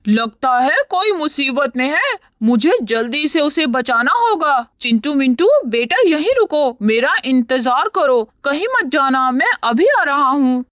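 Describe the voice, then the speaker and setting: Woman voicing a high-voiced, commanding cartoon lion king. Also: animated, measured pace, fairly bright tone, no room sound, lo-fi sound. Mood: concerned, protective. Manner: commanding, solemn. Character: stern, honourable lion king, male, protective father. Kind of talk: animated moral story acting.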